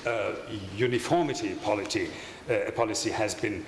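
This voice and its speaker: Older man with a German accent, academic conference lecturer, halting with fillers, roomy hall, faint room noise. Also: lowish voice. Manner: halting, many fillers and restarts, wry asides. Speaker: conference speaker. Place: lecture hall with projection screen. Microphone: desk microphone at a lectern.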